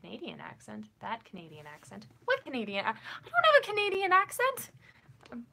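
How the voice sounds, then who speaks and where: A woman's voice: on the high side, huge pitch swings, fairly quick, vocal fry, sharp tone, slight background noise, rough recording. A young woman with a Minnesotan accent, hosting a casual writing livestream and chatting with her viewers in a bubbly, giggly, rambling way. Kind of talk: casual chat reading viewer comments.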